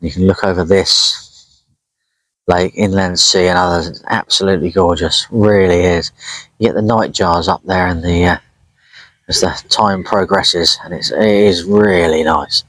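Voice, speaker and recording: Male outdoor vlogger, low-pitched, unhurried, rambling casual delivery, dry open-air sound. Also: fairly even intonation, fairly bright tone, dry recording, poor audio. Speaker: angler vlogging a fishing trip. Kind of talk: casual outdoor vlog commentary. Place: outdoors at a lakeside.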